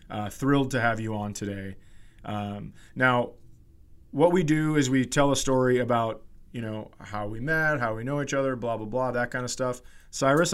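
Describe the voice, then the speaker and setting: A man's voice: medium pitch, clear, resonant tone. A man hosting a podcast in loose, joking, sometimes profane banter. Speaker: podcast host.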